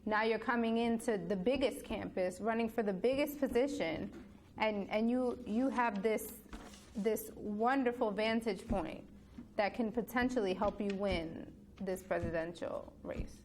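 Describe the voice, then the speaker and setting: A woman's voice: medium pitch, measured pace, a little mellow, slight background noise. A young woman, a student news correspondent, earnestly building her points in a casual panel discussion, often repeating words as she goes. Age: young adult.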